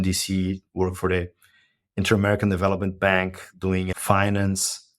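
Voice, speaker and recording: Brazilian-accented guest in his 40s, very low voice, measured, explanatory delivery. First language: Portuguese. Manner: measured, explanatory, often checks with "right?". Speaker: guest, company co-founder. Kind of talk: podcast interview.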